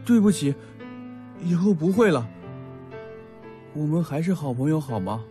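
A man's voice: on the high side, very animated, moderate pace, mellow. A man playing a learned, earnest and sensitive young male student in an audio drama, talkative and heartfelt. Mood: pleading. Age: young adult. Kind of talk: Mandarin audio drama acting. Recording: background music under the voice.

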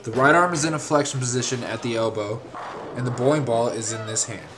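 A man reading a scripted instructional narration in a measured, formal, step-by-step way. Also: mid-range voice, background noise.

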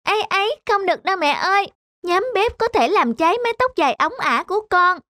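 Cartoon fairy tale role: pampered, self-admiring little girl, piping high, closely recorded. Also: animated, a little fast, sharp tone, dry recording, studio quality, full character voice. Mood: vain, coy. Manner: childlike, self-admiring, pleading. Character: vain, pampered young girl with long hair.

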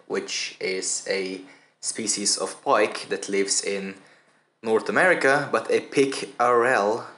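Low-voiced man, rambling voice-over, echoey. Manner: relaxed, rambling, many fillers. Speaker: fishing channel host. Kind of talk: casual voice-over commentary.

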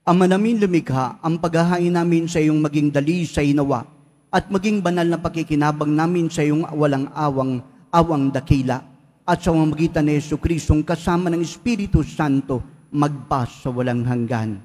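Male priest leading mass, slow solemn prayers, long church echo. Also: a little high, a little mellow. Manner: slow solemn liturgical recitation. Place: large church. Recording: echoey church hall.

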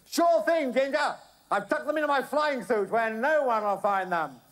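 A man voicing a posh, excitable young airman in a comic adventure parody, shouting and exclaiming. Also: very high-pitched, quick pace, pitched above the performer's own voice. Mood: chipper, eager.

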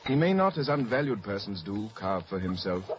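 A man's voice: on the low side, very animated, normal speed. An actor playing an earnest, protective young nobleman in emphatic radio Shakespeare. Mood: earnest, cautioning. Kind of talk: 1950s radio Shakespeare acting. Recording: muffled, hissy old radio broadcast.

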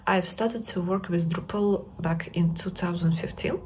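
A woman's voice: lowish voice, a little slow, darkish tone, slight background noise, poor audio. A woman in her thirties with a Ukrainian accent giving a prepared video statement indoors on a device mic.

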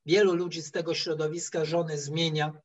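A middle-aged man giving a history lecture over a video call, telling the story haltingly with digressions and repeated words. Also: on the high side, little pitch movement. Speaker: history professor, guest lecturer. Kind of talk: spoken history lecture with slides. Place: indoor room, video call. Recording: dull, lo-fi online call audio.

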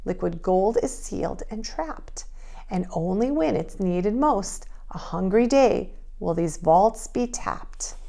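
A woman preschool teacher reading and instructing young children in an expressive, child-directed way. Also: mid-range voice, slow, vocal fry. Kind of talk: children's read-aloud and drawing lesson.

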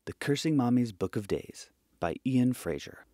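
A man's voice: mid-range voice, lively intonation, normal speed, mellow, dry recording, clean studio sound. A man reading a scripted promotional announcement as an audiobook announcer.

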